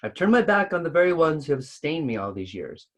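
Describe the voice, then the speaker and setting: A man voicing a vain, selfish male pond spirit in a storybook, theatrical and quick to take offence. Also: on the high side, big pitch swings, a bit bright, voice pushed up. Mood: anguished remorse. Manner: theatrical, indignant, ranting. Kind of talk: storybook read-aloud.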